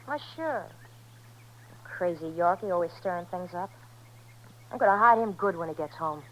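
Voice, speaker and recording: A woman's voice: on the low side, moderate pace, lightly acted, poor audio. A woman playing a young, hard-edged, world-weary ranch wife in a 1950s radio Western, folksy and evasive. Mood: evasive, irritated. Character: young, restless, hard-edged ranch wife, former dance-hall girl.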